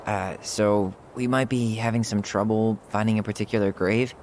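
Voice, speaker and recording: Actor doing nice curious young guy in a video game, kinda low natural voice, slight background noise. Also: steady tone, normal speed, darkish tone, lightly acted. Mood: friendly, tentative. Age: young adult. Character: young man, friendly, gently curious visitor. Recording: faint outdoor ambient noise behind.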